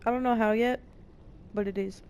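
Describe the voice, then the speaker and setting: A woman's voice: a little high, fairly even intonation, normal speed, a little mellow, a little hiss or hum. A woman doing casual, slangy, playful live commentary as she streams gameplay.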